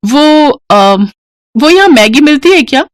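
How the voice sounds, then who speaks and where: A woman voicing a naive, eager young bride in a story, quick to reassure. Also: sits high, animated, no echo, pitched above the performer's own voice. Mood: shy, eager.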